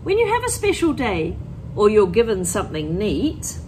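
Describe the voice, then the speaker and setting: A middle-aged woman teacher reading a picture book aloud to children, with deliberate, expressive phrasing, talking directly to her young listeners. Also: medium pitch, a little hiss or hum. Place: empty school classroom, indoors.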